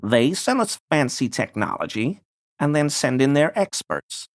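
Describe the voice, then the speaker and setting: Wheedling conspiracy nerd for a video game, slow talker, voice goes up and down a lot, super deferential. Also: male voice, mid-range voice, big pitch swings. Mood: insinuating, flattering. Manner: deferential, wheedling, pseudo-scholarly. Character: obsequious, insinuating male conspiracy-minded amateur researcher. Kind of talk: video game character dialogue.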